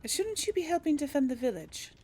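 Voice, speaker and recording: A woman's voice: high-pitched, big pitch swings, brisk, mellow. A woman giving casual commentary as she role-plays through a video game, thinking aloud hesitantly. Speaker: video game streamer role-playing for an audience.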